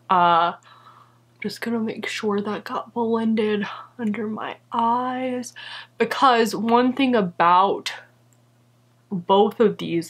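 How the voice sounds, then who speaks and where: A woman's voice: mid-range voice, a bit bright. A young woman in a small room chatting casually through a makeup review, upbeat and full of "like" fillers. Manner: chatty, filler-heavy, enthusiastic about products. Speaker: beauty vlogger. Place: small indoor room with holiday decor.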